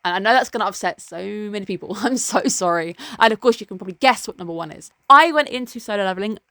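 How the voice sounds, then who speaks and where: A woman reviewing anime in a casual, chatty way. She sounds enthusiastic and adds quick asides. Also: mid-range voice, animated, pushed and bright, no room sound. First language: English.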